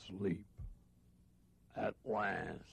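Gravelly, bewildered, weary sleeper. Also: male voice, low voice, steady tone, drawn-out words, full character voice. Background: music.

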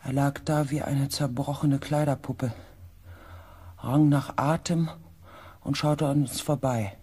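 An actor playing an anxious, reflective researcher who narrates a sci-fi radio drama in a tense, dramatic manner. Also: male voice, mid-range voice, fairly even intonation, slow pace, mellow, husky. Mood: grave. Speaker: narrator and character. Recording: faint background ambience.

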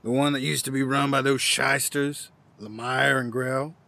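A gravelly, forceful old veteran , acted by a man, down on his luck but proud. He talks in a gruff, wry, folksy way, as in an audio drama. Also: medium pitch, little pitch movement, moderate pace. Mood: weary, reluctant.

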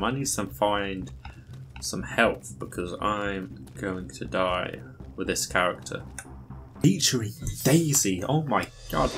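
A young man in his twenties giving excitable, casual commentary on a video game as he plays. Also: on the low side. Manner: excitable, exclamatory, talking to himself. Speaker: gaming streamer. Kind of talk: casual let's-play commentary. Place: small room at home. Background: game music.

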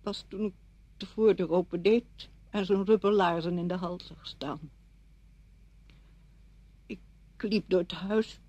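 Bitter grieving widow, husky, close. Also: female voice, lowish voice, fairly even intonation, slow, long pauses, mellow, dry recording. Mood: numb, grieving. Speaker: radio drama actress. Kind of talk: Dutch radio drama acting.

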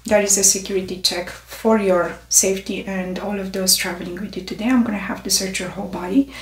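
Woman playing a soft-spoken security officer, roomy sound. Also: medium pitch, natural voice. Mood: stern, procedural. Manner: deliberate, procedural, deadpan. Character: stern, methodical female airport security officer. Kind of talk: soft-spoken ASMR roleplay. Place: small indoor room set. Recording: roomy, distant, noisy with clicks.

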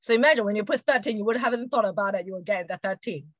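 A woman's voice: mid-range voice, racing, no room sound. A woman in her forties with a Hong Kong accent, chatting casually as an interview guest and often saying "you know". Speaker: interview guest, Montessori educator. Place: indoor room at home, video call.

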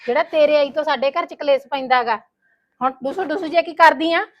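A woman's voice: high voice, a little fast, dull audio, natural voice. A woman playing a spiteful sister-in-law in a family drama, nagging, accusing and sarcastic. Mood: scornful, scolding. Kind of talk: Punjabi domestic drama dialogue.

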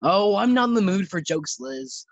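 A woman's voice: mid-range voice, expressive, normal speed, dry recording, close to an everyday voice. A woman acting a breezy, girl-chasing bachelor man in a 1940s-style radio sitcom. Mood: lovelorn. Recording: slightly dull, lo-fi sound.

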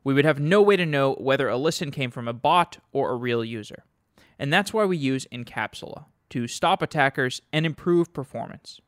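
A man's voice: mid-range voice, lively intonation, normal speed, fairly bright tone, dry recording, clean studio sound. A man reading a scripted sponsor message as a podcast host. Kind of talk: scripted ad read.

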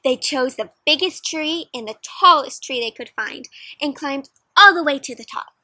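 A young woman doing an expressive, interactive picture-book read-aloud for children, putting questions to the listener. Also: sits high, normal speed, no echo. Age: young adult. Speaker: children's story reader. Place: outdoors in a backyard with play structure.

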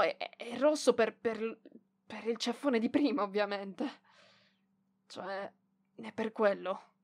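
Proud, flustered young librarian, acted by a woman, slow-paced. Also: on the high side, exaggerated voice. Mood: flustered, indignant. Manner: hesitant stammering, defensive, bashful. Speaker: voice actress. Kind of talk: ASMR roleplay voice acting.

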